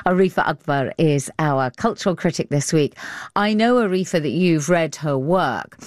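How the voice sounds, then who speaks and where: A woman with a British accent hosting an arts radio show, speaking in an expressive, chatty presenting style. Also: low voice, normal speed, no room sound, clean studio sound.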